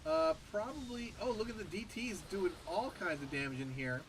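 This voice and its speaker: A man's voice: high-pitched, animated, normal speed, noisy background. A man casting a strategy game match on his own, nasal and stuffed up after a bad sore throat, chatting casually between plays. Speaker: esports caster. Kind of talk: solo game commentary.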